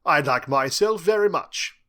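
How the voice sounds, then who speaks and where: Suave British male teacher, acted by a man, drily self-satisfied, bright and lifted in pitch, storybook narration. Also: animated, unhurried. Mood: smug, breezy.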